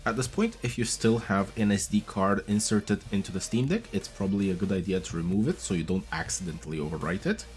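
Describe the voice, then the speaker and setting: A man with a low voice gives a relaxed, chatty tutorial, moving patiently through each step. His pitch swings up and down a lot as he talks, and soft music plays under him. He sounds close to a desk mic in a small room. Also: a little fast, hardly any pauses. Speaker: tech video host. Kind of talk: casual tutorial walkthrough. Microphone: studio mic on a boom arm, wearing headphones.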